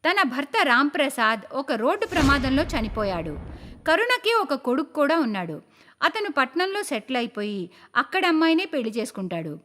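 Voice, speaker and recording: Female story narrator for cartoons: high-pitched, very expressive melody, scripted delivery, faint background noise. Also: brisk.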